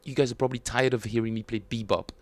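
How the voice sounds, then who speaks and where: A man with a French accent, chatting casually and self-mockingly with viewers as he streams his bass practice, often chuckling. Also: fairly even intonation, fast talker, no echo. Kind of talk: casual livestream chat.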